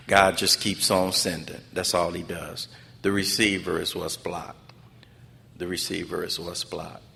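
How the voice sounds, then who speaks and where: Convention speaker: American man, low gravelly voice, steady narrow range, preacher-like repetition, recorded in a reverberant hall. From Los Angeles, United States. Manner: emphatic, repeats phrases for effect, colloquial grammar. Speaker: speaker at a recovery fellowship convention. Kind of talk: personal testimony speech, preacher-like. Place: large conference hall. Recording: echoey hall PA with background noise.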